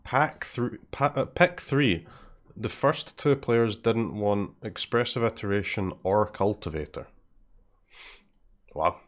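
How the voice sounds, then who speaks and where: A Scottish man talking through his game as he plays, in a lowish voice. He thinks out loud, trails off into quiet mutters and keeps clearing his throat. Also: takes it slowly. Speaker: game streamer. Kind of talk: casual live commentary while playing.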